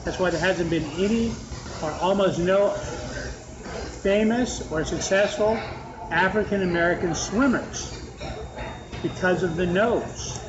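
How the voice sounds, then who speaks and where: A man's voice: sits high, measured pace, pauses often. A man with a nasal voice hosting a podcast, rambling in casual chat with many restarts. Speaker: podcast host. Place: restaurant with a fan. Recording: muffled sound with clear background noise.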